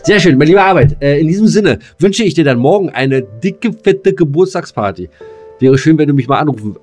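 A man's voice: fairly high pitch, animated, a little fast, darkish tone, faint music behind. A man co-hosting a podcast, chatting in a casual, colloquial way with cheeky, teasing jokes. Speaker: podcast co-host. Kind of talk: casual joking banter.

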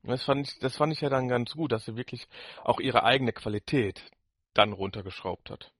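A man with a medium-pitched voice talks as a podcast co-host. His delivery is conversational and unscripted, as he shares opinions. The sound is close and dry, with little room on it. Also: moderate pace, fairly bright tone, dry recording. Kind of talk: casual podcast chat giving opinions.

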